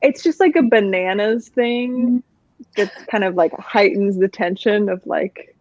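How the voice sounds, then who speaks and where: A woman's voice: mid-range voice, a little slow, darkish tone, no echo. Wry, musing woman on dry, dull audio. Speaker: co-host of a book readalong livestream. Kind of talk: casual chat about books.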